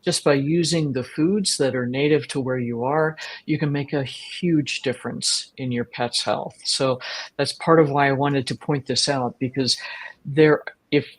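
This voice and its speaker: Woman vet host; slow, measured, sincere delivery. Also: very deep voice, steady tone. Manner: slow, earnest, halting. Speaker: veterinarian and webinar host. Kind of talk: webinar conversation.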